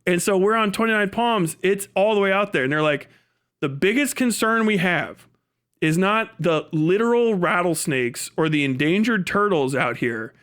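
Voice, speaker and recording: Animated, high-pitched American man telling stories. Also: normal speed, clean tone. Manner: profane, slangy, exaggerating; breaks into laughter at own stories. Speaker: live streamer. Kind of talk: casual rambling storytelling.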